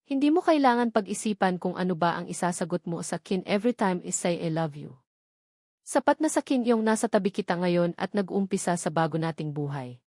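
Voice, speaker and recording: A woman's voice: medium pitch, darkish tone, dry recording, clean studio sound. A woman with a Filipino accent reading the part of a devoted, tender husband in a romance audiobook, earnest and sentimental.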